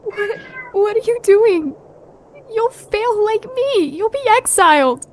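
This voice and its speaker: Tiny, squeaky frightened pony girl. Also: expressive, takes it slowly, dry recording, heavily acted. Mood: distressed. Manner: fearful pleading. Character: frightened, hurt young female pony, pleading. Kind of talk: dramatic fan fiction audio reading.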